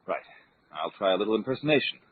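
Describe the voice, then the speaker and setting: An actor playing a calm, authoritative adventurer hero with a measured, formal, commanding manner in an old-time radio drama. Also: male voice, on the low side, very noisy, close to an everyday voice. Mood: calm, alert. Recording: thin, phone-like radio recording.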